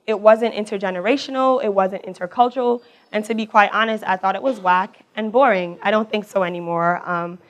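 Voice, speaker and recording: A young woman with a raspy voice, giving a personal talk on a mic to a live audience, chatty and quick. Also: mid-range voice. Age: young adult. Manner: talks fast, warm and chatty, laughs between thoughts. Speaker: alumna speaker. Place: event hall with audience. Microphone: handheld or stage mic.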